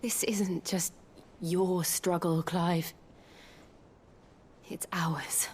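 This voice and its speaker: An actress playing a steadfast, quietly strong female companion to a hero in a video game, measured and earnest. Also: on the low side, flat delivery, slight background noise. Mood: tender, earnest. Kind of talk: dramatic video game cutscene acting.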